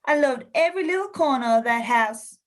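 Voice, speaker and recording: A woman's voice: sits high, expressive, brisk, fairly bright tone. A woman playing a stubborn, feisty old lady ghost, mischievous and insistent, in a radio play. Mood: insistent, wistful. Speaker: actor in an amateur radio play.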